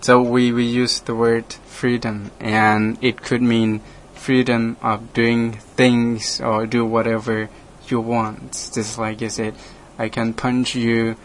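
A man from Laos, a native Lao speaker, talks slowly in an even, flat tone. He restarts his sentences often and laughs now and then. A faint hiss sits under the recording. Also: medium pitch. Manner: halting, hesitant, frequent restarts, laughs often. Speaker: radio program host, monk. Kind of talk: casual conversation in English.

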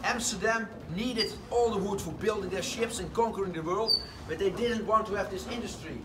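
A man, telling a tour group a lively story inside a large wooden sawmill. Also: sits high, fairly quick. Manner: lively storytelling, slightly broken English phrasing. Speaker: windmill guide. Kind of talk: guided tour talk. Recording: distant voice with noisy background.

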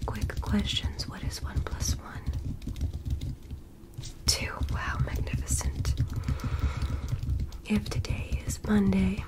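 A woman playing a polite, curious alien doctor in a whispered ASMR medical roleplay, speaking softly and breathily with gentle, formal attentiveness. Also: medium pitch, natural voice. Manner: gentle, clinical, formally praising.

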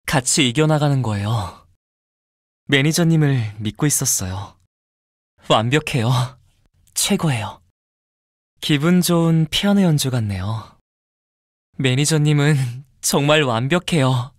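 A man voicing a polite, fastidious young man in a mobile game, softly and intimately devoted with a possessive streak. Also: mid-range voice, expressive, no room sound, clean studio sound, lightly acted. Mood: tender, confident. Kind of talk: mobile game character voice lines.

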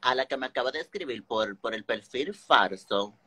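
A man's voice: mid-range voice, lively intonation, normal speed, very noisy. A man with a Dominican accent, talking casually and sassily, dropping in English phrases. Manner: sassy, mixes English phrases into talk. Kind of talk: casual chat.

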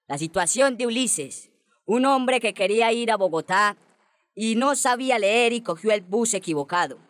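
A woman's voice: on the high side, fairly bright tone, no echo. A woman playing a judgmental, scandal-loving talk-show host in a radio drama.

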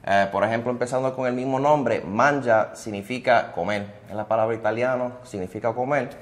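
A man, a restaurant owner answering interview questions in a relaxed way, dropping in English words. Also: medium pitch, a little fast. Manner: relaxed, many "ah" fillers, mixes in English words. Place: indoors at a restaurant bar.

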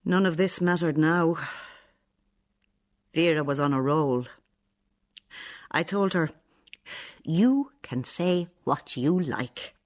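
An actress with an Irish accent playing a stern, bitter, defensive older nun in a radio play, sounding accusing and sanctimonious. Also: female voice, deep voice, slow pace, pauses often. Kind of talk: radio play acting, monologue and dialogue.

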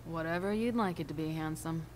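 A woman acting a tough young streetwalker in a noir video game. She sounds jaded and wry, with a lowish, cool, teasing delivery over clear background noise. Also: brisk, darkish tone, natural voice.

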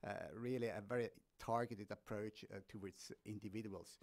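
A man answering on a panel from a conference hall stage, halting with many fillers and restarts. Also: fairly low pitch, fairly even intonation, darkish tone.

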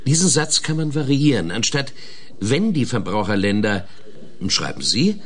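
A man's voice: mid-range voice, sing-song, moderate pace, fairly bright tone. A man playing a methodical investigator in a German radio play, hesitant at first, then explaining pedantically. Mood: pedantic, eager. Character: methodical male investigator, specialist in traps.

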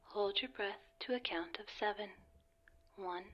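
A woman playing a serene wellness announcer guiding relaxation, in a calm, measured, breathy half-whisper. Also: medium pitch, dull audio. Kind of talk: ASMR guided meditation narration, announcer-like.

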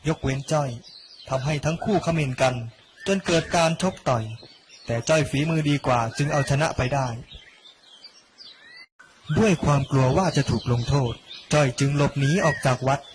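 A young man reading a scripted narration for an animated story. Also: a little high, normal speed, long pauses, noisy background. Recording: loud music under the voice. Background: loud background music.

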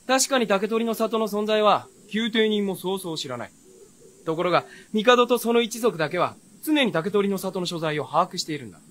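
An actor playing a brash young retainer with breezy, cheeky, rough speech in a period fantasy radio drama. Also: male voice, sits high, moderate pace, fairly bright tone. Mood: knowing, amused.

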